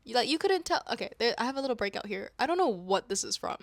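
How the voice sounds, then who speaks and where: A young woman from Indonesia chatting calmly to camera, soft-spoken with whispery asides and self-mocking laughs. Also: on the high side, quick pace, dry recording. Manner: playful, laughing at herself. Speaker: vlogger. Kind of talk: calm casual chat to camera. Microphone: wireless clip-on mic.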